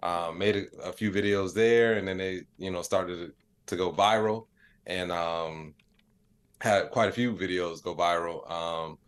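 A middle-aged American man speaks as an interview guest in a low-pitched voice. He talks casually and reflectively, telling stories in an easygoing conversational style. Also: a little slow, clean tone. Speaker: podcast guest, comedian and digital creator.

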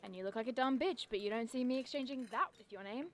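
A woman playing a nerdy, sharp-tongued young monster investigator, eager and cheeky, in naturalistic audio drama. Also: on the high side, fast, heavy background noise, lightly acted. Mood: teasing, exasperated.

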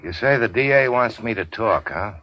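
An actor playing a weary, sardonic gangster in a 1940s radio drama, terse and slangy. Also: male voice, fairly low pitch, lively intonation, moderate pace, no room sound. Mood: scheming, terse. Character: weary hard-boiled gangster, wounded, sardonic and unafraid. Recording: dull 1940s radio recording.

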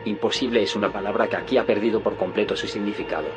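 A male actor as an intense visionary speaks rapidly. He is grandiloquent and insistent, a radio-drama dreamer declaiming over prominent music. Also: lowish voice, fairly even intonation, natural voice. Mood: grandiose, intent. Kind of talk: dramatic audio fiction dialogue.

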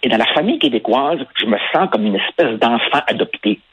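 A man's voice: mid-range voice, animated, fairly quick, fairly bright tone, slightly rough, no echo, rough recording. A man whose first language is Spanish, speaking Quebec French as a passionate radio commentator who repeats words while building his points. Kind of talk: opinion talk on radio.

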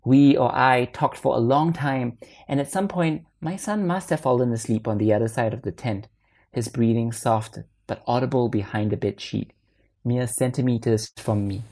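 A woman reading a literary short story aloud as narrator, in a measured and expressive manner. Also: extremely low pitch.

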